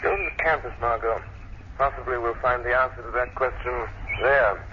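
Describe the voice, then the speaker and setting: An actor playing a calm, cultured, wealthy male sleuth in an old radio mystery. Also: mid-range voice, normal speed, close to an everyday voice. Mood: concerned. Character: urbane, thoughtful wealthy gentleman sleuth. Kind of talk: 1940s radio drama acting. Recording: muffled, noisy old-time radio recording.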